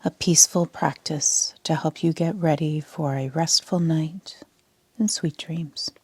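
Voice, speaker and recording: A woman leading a sleep meditation as a yoga nidra instructor, speaking in a soft, half-whispered, calm and soothing way. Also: sits low, unhurried, slightly rough, no room sound.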